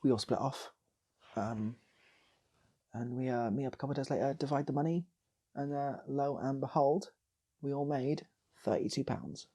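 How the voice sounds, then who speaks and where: A man's voice: medium pitch, moderate pace, pauses often, warm tone, husky. A man with a British accent telling a casual improvised comic story as a channel host, rambling and repeating his phrases.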